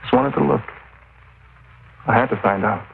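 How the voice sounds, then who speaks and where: Raspy, cracking killer breaking down in confession, phone-thin old radio. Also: male voice, lowish voice, fairly even intonation, measured pace, a little hiss or hum. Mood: distraught. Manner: pleading and distraught. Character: broken male murderer confessing to police. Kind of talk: 1940s radio acting. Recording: tinny, distant old radio broadcast.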